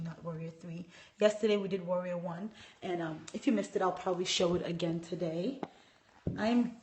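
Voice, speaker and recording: A hoarse woman with a cold, teaching a live yoga lesson and talking viewers through a pose as she demonstrates it. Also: fairly low pitch, darkish tone, slight background noise. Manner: talks through poses while demonstrating, stops to greet viewers. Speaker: yoga instructor. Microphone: camera set back across the room. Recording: echoey room, voice far from the mic.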